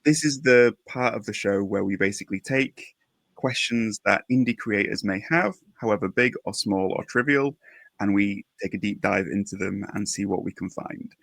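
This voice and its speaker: A man's voice: on the low side, moderate pace, creaky, a bit bright, no room sound. A man hosting a casual podcast interview, speaking effusively and full of compliments. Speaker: podcast host.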